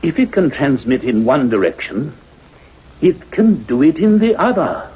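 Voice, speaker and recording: A man's voice: fairly high pitch, sing-song, unhurried, darkish tone, husky, full character voice. An actor playing a commanding, urgent male scientist in a sci-fi radio play, speaking in an imperious, declamatory way. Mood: grave, awed. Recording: muffled, noisy old radio drama recording.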